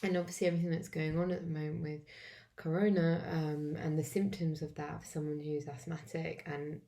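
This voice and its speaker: Low gravelly woman, wheezy and coughing. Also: level tone, mellow. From England. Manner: halting, with many fillers. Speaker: vlogger demonstrating tapping. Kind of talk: casual self-help walkthrough. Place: home, alone.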